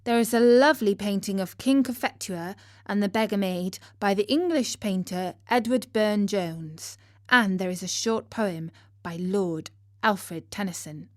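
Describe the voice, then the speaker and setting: A woman reading a scripted story as narrator, in a measured and expressive manner. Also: medium pitch, dry recording, studio quality.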